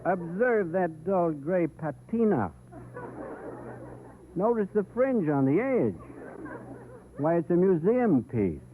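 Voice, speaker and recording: A man's voice: high voice, sing-song, slow pace, pauses often, a little hiss or hum, heavily acted. A man acting a pompous, mock-grand hotel man in 1930s radio comedy, on an old tinny broadcast. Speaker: comic guest on a radio variety show.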